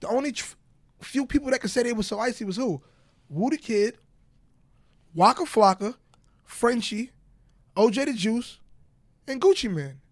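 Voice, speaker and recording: A man's voice: very high-pitched, lively intonation, moderate pace, long pauses, no room sound, clean studio sound. A man with a stutter talking candidly as a podcast guest, repeating his points in short bursts, with a Southern US accent. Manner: stutters, repeats phrases like "bro" and "real shit". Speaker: guest rapper. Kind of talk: candid interview talk.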